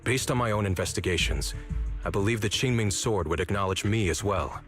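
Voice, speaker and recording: A man plays a self-sacrificing swordsman and older brother in a video game. His voice sits low, with measured, formal phrasing. Music plays faintly underneath. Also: fairly bright tone, close to an everyday voice. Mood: measured, grave.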